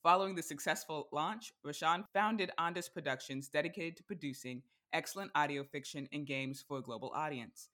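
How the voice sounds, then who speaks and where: Bright, giggly podcast host. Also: mid-range voice, a little fast, hardly any pauses. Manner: giggly, upbeat, reads intros then chats. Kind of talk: casual interview hosting.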